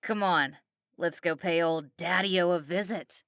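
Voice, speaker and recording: A woman's voice: lowish voice, measured pace, a bit bright, clean tone, dry recording, studio quality. A woman voicing a foul-mouthed, jealous, bullying mother on drugs, ranting in slang for an audiobook. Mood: bossy, scornful. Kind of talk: audiobook character acting.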